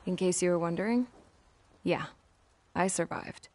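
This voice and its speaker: A woman's voice: fairly low pitch, unhurried. An actress playing a jaded young pilot telling her war story in blunt, wry, slangy game narration. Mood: wry, bitter. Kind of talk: scripted first-person game narration. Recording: loud music under the voice.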